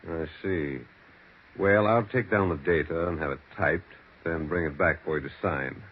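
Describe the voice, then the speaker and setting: A man's voice: sits low, normal speed, slightly rough. A man playing a formal, skeptical family lawyer, businesslike and incredulous, in a 1940s radio mystery. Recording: muffled, hissy 1940s radio recording.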